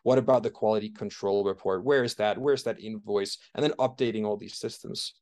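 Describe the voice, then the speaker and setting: A man in his thirties from Denmark speaks in a fairly low voice. He explains his work in a conversational interview, often pausing and restarting his sentences. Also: darkish tone. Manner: thoughtful, frequent fillers and restarts while explaining. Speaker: startup founder and CEO.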